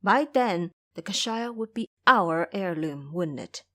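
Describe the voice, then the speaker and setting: A woman's voice: lowish voice, animated, unhurried, a bit bright. A woman playing a cunning, calculating junior male monk who speaks persuasively in an audio drama.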